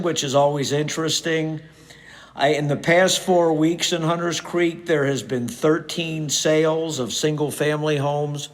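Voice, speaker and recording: A middle-aged man hosting his own podcast in a casual, conversational way, sounding a little hoarse and nasal from a cold. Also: a little high. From United States. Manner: conversational, with frequent fillers. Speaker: podcast host, real estate agent. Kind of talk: casual solo podcast talk.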